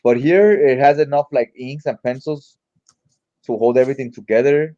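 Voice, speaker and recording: A man's voice: mid-range voice, steady tone, moderate pace, warm tone, muffled recording. A man giving casual read-along comic commentary in an informal, slangy, mildly profane way. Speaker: comic book reviewer.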